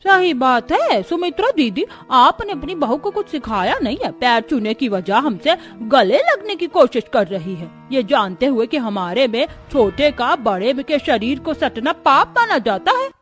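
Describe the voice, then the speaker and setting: A woman's voice: sits high, big pitch swings, measured pace, few pauses, a bit bright, faint music behind, exaggerated voice. A woman voicing an indignant older aunt-in-law in a cartoon, traditional, judgmental and complaining.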